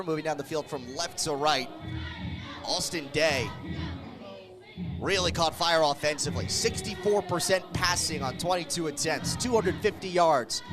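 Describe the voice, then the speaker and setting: High-voiced man calling football on radio. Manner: excited, energetic calling of the action. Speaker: play-by-play sports radio announcer. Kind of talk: live football radio commentary. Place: live at a football game.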